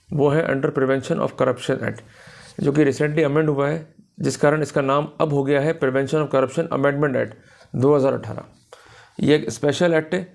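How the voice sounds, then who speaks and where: A man's voice: fairly high pitch, creaky, a little mellow. A man with an Indian accent giving an explanatory law lecture in a didactic way, addressing his listeners directly and switching into English legal terms.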